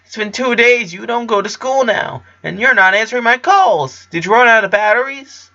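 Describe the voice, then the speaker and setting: An excitable young boy, acted out by a man, pitched well up and bright. He is eager, fussy and inquisitive, the devoted best friend in a cartoon fan story, on a slightly dull recording. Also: moderate pace, gravelly, heavily acted. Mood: worried, excited.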